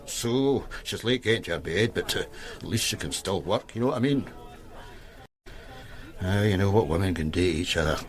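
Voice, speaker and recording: A man's voice: low voice, animated, moderate pace, long pauses. An actor playing a shifty male informant with a Glasgow accent who talks in a sly, wheedling, hesitant way. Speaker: police informant. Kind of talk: radio drama acting.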